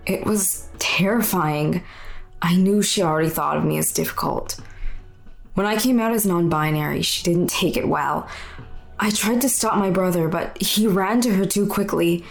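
Young, gravelly low-voiced non-binary storyteller. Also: female voice, normal speed. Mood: candid, wistful. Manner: plain, conversational first-person storytelling. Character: weary, candid non-binary young muse recounting mistreatment. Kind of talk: confessional monologue in audio fiction. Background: music under the voice.

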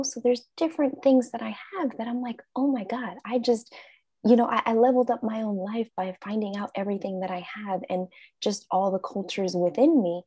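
A woman's voice: mid-range voice, no echo. A woman life coach, a guest in an interview, talking casually and acting out her own thoughts in quotes. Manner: animated, acts out her own quoted thoughts, frequent laughs.

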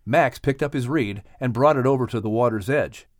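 A man narrating a scripted children's story as an audiobook reader, with expressive delivery. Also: mid-range voice, a little fast, no room sound, clean studio sound.

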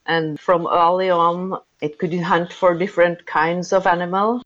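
A woman's voice: fairly low pitch, fairly even intonation, measured pace, fairly bright tone. A woman with a Norwegian accent answering interview questions, speaking carefully and hesitantly as a non-native English speaker. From Norway. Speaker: guest, dog breeder. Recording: dull lo-fi remote line.

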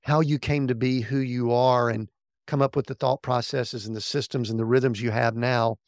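Gravelly, deliberate podcast host. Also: male voice, medium pitch, steady tone, no echo, studio quality. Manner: deliberate, slow in places, with restarts. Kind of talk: interview questions.

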